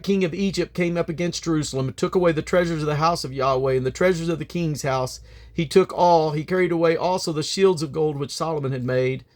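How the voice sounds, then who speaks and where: A man reading the Bible aloud as a reader, phrasing deliberately and now and then stumbling over names. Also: on the high side.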